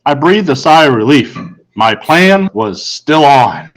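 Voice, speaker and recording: A man's voice: fairly high pitch, expressive, takes it slowly, low-quality recording. Man earnestly reading a story aloud. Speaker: audiobook reader. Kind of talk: first-person story narration read aloud.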